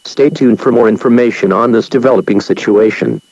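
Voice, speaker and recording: A man voicing an emergency alert announcer, reading a bulletin in a flat, formal, measured way. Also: on the low side, no room sound. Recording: dull lo-fi broadcast audio.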